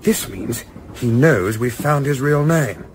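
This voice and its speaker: An actor playing an observant, composed gentleman adventurer in a radio play, speaking in a measured, articulate, understated way. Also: mid-range voice, animated, noisy background. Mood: wry, conspiratorial. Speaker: lead actor narrating and playing a part. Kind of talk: radio play narration and dialogue.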